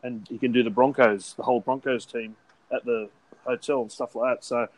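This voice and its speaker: A mid-pitched Australian man in easy podcast banter. He rambles in a blokey way with casual swearing and the odd laugh between words. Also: steady tone, brisk, rough recording. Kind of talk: casual chat.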